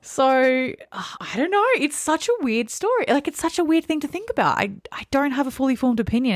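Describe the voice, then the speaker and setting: A woman's voice: medium pitch, dry recording, clean studio sound. A woman co-hosting a podcast in casual book chat, blunt and opinionated, peppering her talk with "like". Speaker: podcast co-host.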